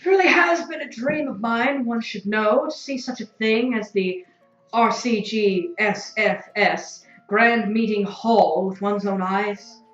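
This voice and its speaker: A woman's voice: medium pitch, unhurried, natural voice. A woman playing a solemn former slave in an audio drama, speaking formally and dreamily in philosophical metaphor. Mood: awed, solemn. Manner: stilted, formal, dreamy and ponderous. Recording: echoey, dull recording with light music.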